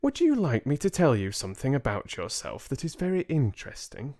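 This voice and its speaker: A man voicing a gentle, wise old grandmother, calm and loving, with tender, deliberate speech, in a storybook reading. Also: mid-range voice, voice pushed up.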